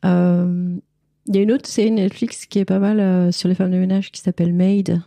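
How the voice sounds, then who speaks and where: Low, mellow, informal Frenchwoman. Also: little pitch movement, moderate pace, vocal fry, dry recording. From France. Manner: informal, slangy, candid. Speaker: podcast guest, cleaning lady and visual artist. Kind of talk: casual conversational interview.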